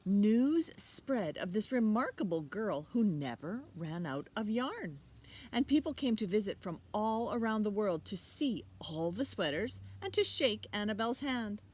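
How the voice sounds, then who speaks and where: A woman's voice: mid-range voice, measured pace, husky. A middle-aged woman hosting children's storytime outdoors, speaking simply and expressively to young children. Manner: expressive, simple child-directed talk with questions. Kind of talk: picture book reading and children's lesson. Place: outdoors by a leafy hedge. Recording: outdoor recording with faint noise.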